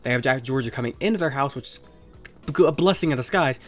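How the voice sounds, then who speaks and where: A man's voice: medium pitch, fast, faint music behind. A young man hosting his own sports talk video, congested from illness, rambling casually and swearing now and then. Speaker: sports channel host.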